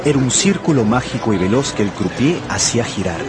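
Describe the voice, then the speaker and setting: A man's voice: mid-range voice, animated, a little fast, lightly acted. An actor playing an anxious, tempted gambler who narrates his own story in a radio drama. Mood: absorbed, observant. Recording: loud music and crowd behind the voice.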